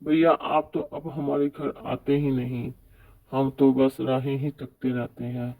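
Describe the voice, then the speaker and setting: A man's voice: mid-range voice, level tone, slow pace, no room sound. A man playing an earnest, respectful poor young husband in a scripted cartoon story. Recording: tinny phone-like sound, distant mic.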